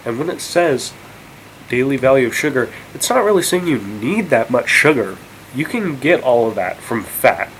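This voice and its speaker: A man's voice: mid-range voice, sing-song, moderate pace, a little hiss or hum, rough recording. A congested young man vlogging, rambling and incredulous, with many fillers and restarts. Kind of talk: casual rant to camera.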